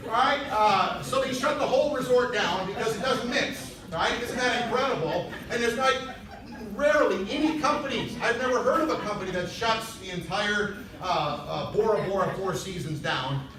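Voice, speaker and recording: High-voiced man speaking in an echoey hall. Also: normal speed, a crowd in the background. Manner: conversational, urging. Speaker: motivational speaker at a sales convention. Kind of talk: motivational stage talk. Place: large event hall on stage. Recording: echoey hall, distant mic, noisy.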